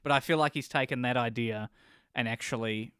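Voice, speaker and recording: A man with a clean, resonant voice at a middle pitch co-hosts a casual review chat. The sound is dry and close, with no room around him. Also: fairly quick, fairly bright tone, smooth, dry recording. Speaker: podcast co-host reviewing films and shows.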